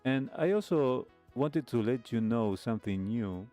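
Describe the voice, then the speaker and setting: A man hosting a live stream radio show, speaking reflectively in a measured, halting way with pauses. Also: medium pitch, lively intonation, warm tone. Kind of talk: reflective host talk, signing off a live stream. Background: music playing under the voice.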